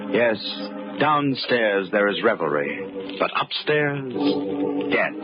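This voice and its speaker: Ominous old radio narrator, low male voice. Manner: ominous, deliberate dramatic pauses. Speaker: narrator of a radio mystery show. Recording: muffled old radio recording. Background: music.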